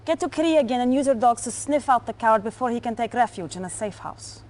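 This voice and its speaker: An actress playing a stern Soviet intelligence officer in a video game, controlled and clipped. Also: female voice, a little high, expressive, a little fast, background noise, natural voice. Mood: commanding, calculating. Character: cold, commanding Soviet female intelligence officer. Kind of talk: video game cutscene acting.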